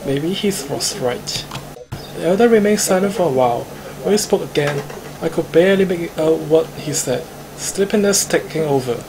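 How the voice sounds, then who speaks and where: A man with a Hong Kong accent reads a visual novel aloud in an informal, slightly stumbling way. His voice sits fairly high, with music clearly playing behind him. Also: lively intonation, moderate pace, low-quality recording. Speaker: streamer reading the protagonist.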